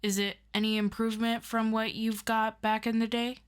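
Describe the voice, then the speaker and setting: A woman playing a calm, formal superior of a superhero team in an audio roleplay, asking measured, probing questions. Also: a little high, clean tone, lightly acted. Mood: courteous, probing. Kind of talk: scripted audio roleplay addressed to the listener.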